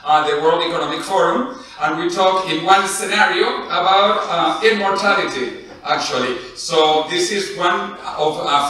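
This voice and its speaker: A man's voice: sits high, sharp tone. A middle-aged man from Venezuela with a Spanish accent, giving an informal, enthusiastic conference presentation from a podium. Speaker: conference speaker and session host. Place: indoor conference lecture hall at podium. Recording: echoey lecture hall, distant mic.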